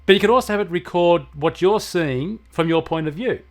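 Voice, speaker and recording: A man's voice: sits high, brisk. An Australian man explaining technology in a conversational way, using everyday examples. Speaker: technology expert guest answering questions.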